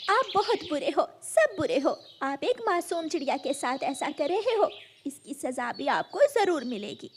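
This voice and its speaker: Woman as loyal female cartoon bird, protective, earnest, very high lively voice, faint background noise. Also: measured pace. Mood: indignant. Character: kind, loyal, indignant female bird friend.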